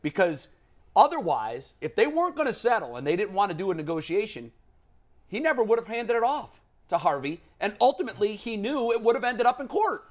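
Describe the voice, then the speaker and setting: A man's voice: high-pitched, sing-song, a little fast. A man hosting a sports talk panel, making his case emphatically and posing rhetorical questions. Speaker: sports talk show host.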